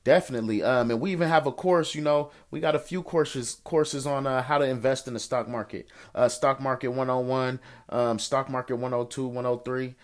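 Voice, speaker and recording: A man's voice: medium pitch, fairly even intonation, fairly quick, hardly any pauses. An American man hosting an informal coaching call, answering listener questions in colloquial street slang, encouraging and repeating phrases for emphasis. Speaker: host of a financial coaching call, investor and entrepreneur.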